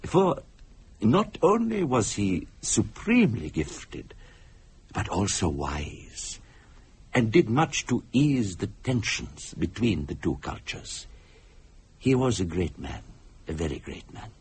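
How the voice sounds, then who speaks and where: An actor playing a courteous, solemn Indian psychic in a radio drama, with an Indian accent and formal, deliberate delivery. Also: male voice, lowish voice, huge pitch swings, a little mellow, slightly rough, exaggerated voice. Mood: grave, solemn.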